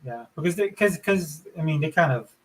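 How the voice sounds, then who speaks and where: A man's voice: on the high side, lively intonation, brisk, rough recording. Slightly whiny man in loose casual chat. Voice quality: somewhat whiny. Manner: loose, informal, peppered with like and dude.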